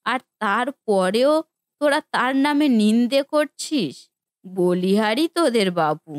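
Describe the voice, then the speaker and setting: A woman's voice: medium pitch, no echo, clean studio sound, natural voice. A woman playing a stern, reproachful elderly mother in a cartoon family drama, with an indignant, scolding manner.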